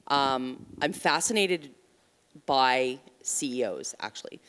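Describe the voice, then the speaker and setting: Hesitant woman panelist in a big hall. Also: low voice. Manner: hesitant, many ums and restarts. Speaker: marketing and communications mentor on a panel. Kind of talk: casual panel talk. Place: conference stage. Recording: reverberant hall.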